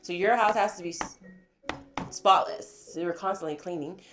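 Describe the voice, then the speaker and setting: A woman's voice: on the low side, normal speed, over music. A woman from American Samoa who is sick with a cough, chatting casually at home and answering questions with lots of fillers. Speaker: host. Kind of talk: casual chat.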